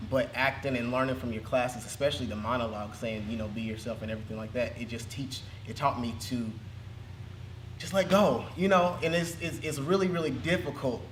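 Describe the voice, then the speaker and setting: A man's voice: mid-range voice, a little fast, echoey room, a little hiss or hum. A man who is an acting student, speaking earnestly and reflectively in a casual class discussion.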